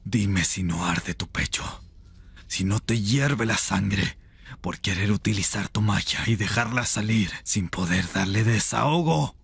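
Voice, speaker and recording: A man voicing a chained rebel mage in an ASMR roleplay, intense, seductive and tender, with a breathy, gravelly voice. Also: on the low side, a little slow, fairly bright tone, dry recording, exaggerated voice. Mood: fervent, indignant.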